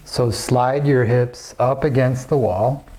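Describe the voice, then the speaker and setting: A man gently and calmly guiding a yoga and relaxation class in measured phrases with pauses. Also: mid-range voice, a little hiss or hum, rough recording. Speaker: yoga teacher. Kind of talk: guided yoga and relaxation instruction.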